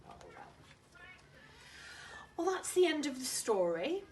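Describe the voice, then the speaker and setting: A woman's voice: sits high, sing-song, slow, darkish tone, recorded from a distance, a little hiss or hum. A woman reading a children's story expressively, dramatic and addressing young listeners directly. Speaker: primary school teacher reading to children.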